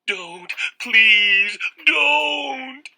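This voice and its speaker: A man's voice: very high voice, expressive, drawn-out words, pushed and bright, voice pushed up, heavily acted. A man voicing a terrified chubby young boy who sobs and begs as he cries. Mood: panicked. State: crying.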